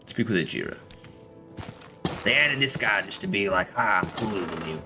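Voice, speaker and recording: A man's voice: sits low, lively intonation, moderate pace, over loud music. A man giving casual, sweary, irreverent commentary while streaming a video game. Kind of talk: casual live gaming commentary.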